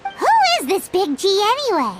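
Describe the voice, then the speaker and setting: A woman's voice: sing-song, normal speed. A woman voicing a small, childlike, indignant fairy companion in a video game. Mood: puzzled, indignant. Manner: refers to self in third person, squeaky exclaiming. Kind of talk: English video game voice acting. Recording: game music behind the voice.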